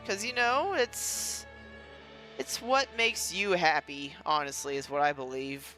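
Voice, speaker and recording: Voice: medium pitch, a little slow, bright, forceful tone. A gaming content creator giving casual, rambling, jokey let's play commentary. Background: faint game music.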